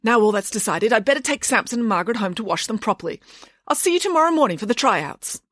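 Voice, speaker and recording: A woman's voice: a little high, lively intonation, fast talker, a bit bright, no room sound, studio quality, voice pushed up. A woman voicing a prim, scheming rival nanny in a children's storybook, fussy and critical with a false sweetness. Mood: brisk, bossy.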